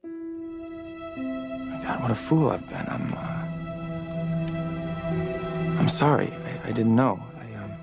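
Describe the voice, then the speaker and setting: A man's voice: mid-range voice, huge pitch swings, slow, gravelly, pauses often, dull audio, loud music behind the voice, full character voice. A man acting in a soap opera as an impulsive, earnest father, insistent and emotional. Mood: remorseful. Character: intense, demanding young father, impulsive and earnest.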